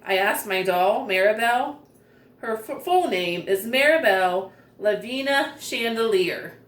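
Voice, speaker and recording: A woman's voice: medium pitch, lively intonation, slow pace. A woman reading a picture book aloud expressively, enunciating with care for young children. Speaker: children's story reader. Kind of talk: expressive storybook read-aloud. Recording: roomy echo, distant microphone.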